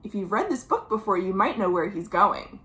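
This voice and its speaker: An American woman reading a picture book aloud to young children, with chatty asides and gentle questions, drawing out key words. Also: medium pitch, sing-song, moderate pace. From United States. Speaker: children's librarian hosting a virtual storytime. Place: at home.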